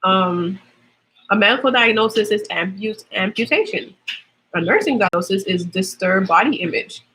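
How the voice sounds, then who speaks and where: A woman's voice: mid-range voice, lively intonation, moderate pace, bright, forceful tone, husky, poor audio. A young woman with a Trinidadian accent, chatting casually on a livestream as a nursing student, explaining things with lots of fillers.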